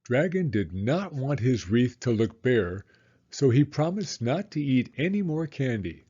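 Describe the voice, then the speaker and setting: Guy reading a picture book to little kids, unhurried n very expressive, pitch goes up and down a lot. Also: husky, dull audio. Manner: deliberate, animated storytelling. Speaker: children's storybook reader. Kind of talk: expressive read-aloud story narration for young children.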